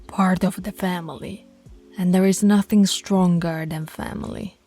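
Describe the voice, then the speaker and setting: Woman acting a slow, husky alpha she-werewolf. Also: on the low side, fairly even intonation, warm tone, faint music behind. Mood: earnest, tender. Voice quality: breathy, husky. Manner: slow, deliberate, commanding. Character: dominant female alpha werewolf, stern yet teasingly affectionate. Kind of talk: intimate ASMR roleplay voice acting.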